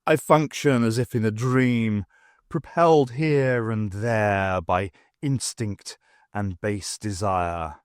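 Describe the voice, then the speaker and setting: A man voicing a proud, anguished male cat in human form in an audiobook, speaking slowly and hissing through clenched teeth. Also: lowish voice, smooth, dry recording, clean studio sound. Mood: anguished, bitter. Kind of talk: audiobook character voice.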